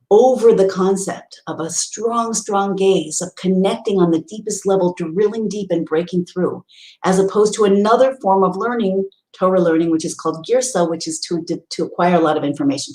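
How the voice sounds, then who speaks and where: A woman with a low voice gives an earnest spiritual lesson, explaining ideas step by step and restarting phrases as she goes. The room around her rings with echo. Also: a little mellow. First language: English. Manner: earnest, explanatory, with many restarts and Hebrew readings. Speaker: teacher. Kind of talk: spiritual teaching talk.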